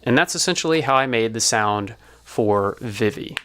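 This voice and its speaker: A man's voice: mid-range voice, expressive, moderate pace, background noise. A man giving an explanatory tutorial as a sound designer, talking through it step by step.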